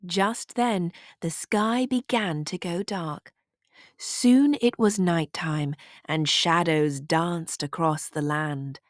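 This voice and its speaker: A woman narrating a children's storybook aloud in an expressive, deliberate way. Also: fairly low pitch, no echo, clean studio sound.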